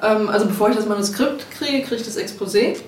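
A woman with a medium-pitched voice explains her work in a casual interview. She sits a little away from the microphone in an echoey room with faint noise. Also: fast talker, distant mic. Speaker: editor of a pulp novel series, interviewee. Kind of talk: casual spoken interview answers. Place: indoors, shared office. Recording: echoey room, off-mic, noisy.

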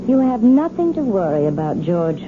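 A woman's voice: mid-range voice, very animated, normal speed, noisy background, close to an everyday voice. A woman playing a timid, pleading young wife. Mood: cool. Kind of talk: 1970s radio drama acting. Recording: thin phone-like radio broadcast with music.

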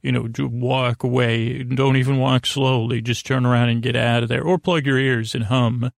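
A man with a creaky voice hosting a podcast in a soothing, long-winded bedtime monologue that meanders and interrupts itself. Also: mid-range voice, steady tone, normal speed, no echo, studio quality. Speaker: podcast host.

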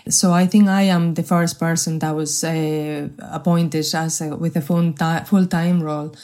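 Woman, forties, Spanish accent, low voice, flat intonation, hesitant guest. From Spain. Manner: measured, hesitant, many restarts. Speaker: guest, health research manager. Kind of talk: podcast interview.

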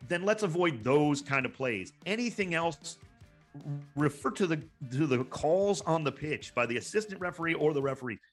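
A man's voice: fairly high pitch, expressive, moderate pace, soft background music. Emphatic man arguing about sports. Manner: emphatic, exasperated, repeats words for stress. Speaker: sports broadcaster, guest pundit. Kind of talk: impassioned sports commentary, panel discussion.

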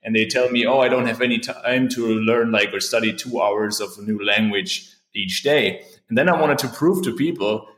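Man, performance coach, upbeat conversational tone, lowish pitch, roomy echoey recording. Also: moderate pace, hardly any pauses, fairly bright tone. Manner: upbeat, chatty, frequent "right?" and "you know". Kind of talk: casual interview chat. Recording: roomy echo.

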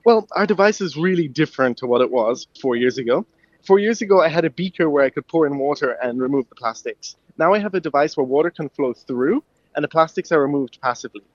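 A man's voice: a little high, lively intonation, quick pace, dry recording, lo-fi sound. A young man from Ireland, a guest inventor in a radio interview, talking eagerly and laughing easily. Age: young adult.

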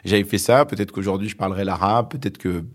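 A man in his forties with a very low, deep voice, speaking as a guest in an interview. He tells his story slowly and thoughtfully, pausing often and searching for his words. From Lebanon. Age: 40s. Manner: reflective, many hesitations. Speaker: author and journalist guest.

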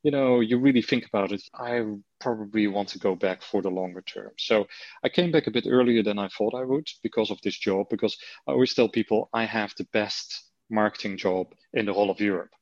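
A man's voice: lowish voice, brisk, no echo, muffled recording. A man from the Netherlands with a Dutch accent, chatting casually as an interview guest, with lots of fillers. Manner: relaxed, chatty, frequent fillers. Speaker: marketing executive guest. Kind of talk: conversational interview.

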